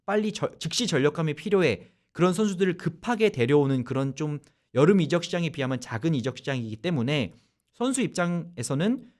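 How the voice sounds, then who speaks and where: Male radio host, fairly high voice with a very lively pitch, chatty and explanatory. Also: brisk. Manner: animated, explains at length; loose conversational rambling. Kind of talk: casual sports talk.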